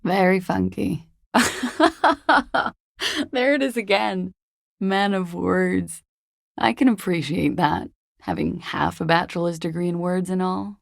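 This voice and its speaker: Coy disco dancer, creaky low voice. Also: female voice, slow pace, no room sound, clean studio sound, exaggerated voice. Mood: playful, dry. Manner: wry, coy, understated. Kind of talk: video game voice acting.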